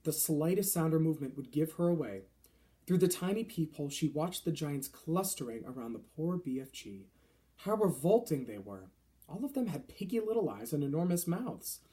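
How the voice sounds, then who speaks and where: A man's voice: fairly high pitch, expressive, moderate pace, dark tone. A man reading a children's novel aloud as scripted narration. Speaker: storybook reader.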